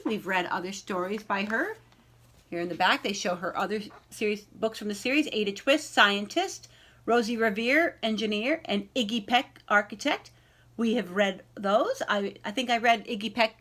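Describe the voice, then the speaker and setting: A woman reading a children's picture book aloud in an expressive storytelling style, addressing young listeners directly. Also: a little high. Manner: animated, pausing to ask young listeners questions.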